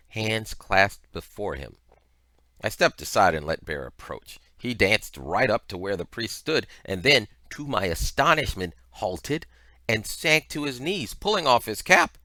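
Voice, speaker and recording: A man reading a story aloud as scripted narration, with expressive, deliberate phrasing. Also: on the low side, dry recording. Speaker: audiobook reader.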